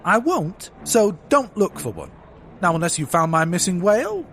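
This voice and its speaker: A pompous whaling baron, played by a male actor in a comic audio drama. His voice sits a little high and leaps around as he blusters and dismisses people. He is crude and smug, with music playing behind him. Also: big pitch swings, normal speed, fairly bright tone, exaggerated voice. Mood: dismissive. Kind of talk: comedic audio drama acting.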